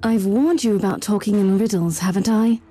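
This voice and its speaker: An actress playing a stern, sceptical imperial inquisitor in a video game, curt and commanding. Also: female voice, mid-range voice, moderate pace, mellow, close to an everyday voice. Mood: stern, scornful.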